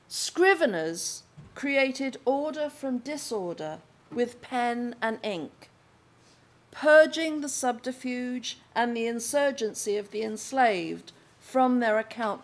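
An older woman reads her own prose aloud at a lectern microphone, taking it slowly and deliberately, phrase by phrase, with pauses between. Her voice sits a little high for a woman and stays unhurried throughout. The sound is of a lecture room indoors. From England. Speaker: author reading from her book. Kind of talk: slow measured reading aloud at a lectern.

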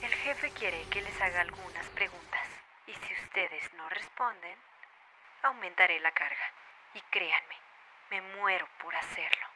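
Woman playing a cold, low-voiced menacing interrogator. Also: unhurried, background noise, lightly acted. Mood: threatening. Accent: Latin American. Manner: commanding, threatening. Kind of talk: animated fan dub acting. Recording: loud music behind the voice.

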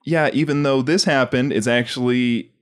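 A man chatting casually as a podcast host, rambling through comedy sketch pitches and acting out bits as he explains. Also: steady tone, normal speed. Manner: rambling, acts out lines as he explains.

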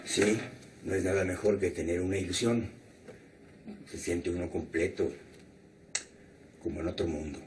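A man's voice: low voice, steady tone, moderate pace, long pauses, darkish tone, slight background noise, close to an everyday voice. An actor playing a middle-aged doctor in a telenovela, teasing his young colleague about a new romance. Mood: amused. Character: older male doctor, amused and fond. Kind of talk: 1990s telenovela acting. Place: indoor office set.

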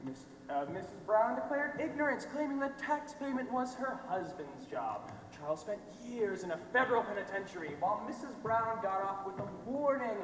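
A young man playing a brash, fast-talking reporter in an amateur stage play, with theatrical, slangy, emphatic delivery. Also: high voice, animated, a bit bright. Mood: accusing. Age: young adult. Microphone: distant microphone. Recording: echoey theatre hall from far off, noisy.

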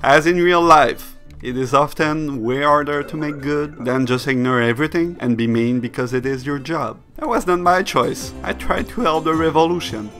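A man's voice: fairly high pitch, normal speed, hardly any pauses, a bit bright. A man reading a scripted video essay that reviews games, in the role of narrator. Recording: music bed behind the voice. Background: music.

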